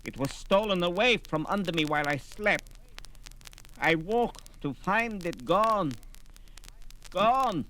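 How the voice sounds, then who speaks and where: An aged sorcerer, proud and easily aggrieved. The voice sits high and swings dramatically, with a slow, emphatic delivery from a fantasy record drama. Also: male voice, animated, pushed and bright, a little hiss or hum, heavily acted, poor audio. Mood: proud, plaintive. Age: older. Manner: theatrical, indignant, emphatic. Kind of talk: 1970s fantasy audio drama acting.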